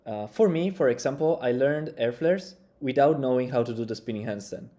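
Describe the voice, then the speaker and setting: Mellow-voiced young man musing. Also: mid-range voice, a little fast. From Italy. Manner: relaxed, thinking aloud with loose grammar. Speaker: breaking coach reacting to tutorials. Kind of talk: casual reaction commentary. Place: small room indoors.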